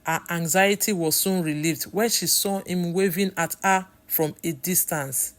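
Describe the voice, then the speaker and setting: A woman's voice: on the low side, unhurried. Nigerian woman narrating a scripted story.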